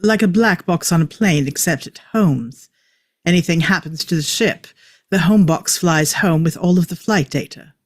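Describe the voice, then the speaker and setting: A man's voice: a little high, moderate pace, dry recording, studio quality, close to an everyday voice. A man acting an eccentric, opinionated time-traveller in a script table read, with clipped, emphatic delivery. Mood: fascinated, explaining. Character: eccentric, opinionated male time-traveller, a know-it-all enthusiast.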